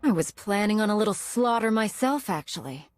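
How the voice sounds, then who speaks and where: A woman's voice: mid-range voice, animated, brisk, no room sound, natural voice. An actress playing a defiant, wry female warrior bent on revenge in a video game, speaking with dry determination. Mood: wry, threatening. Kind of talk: dramatic video game dialogue.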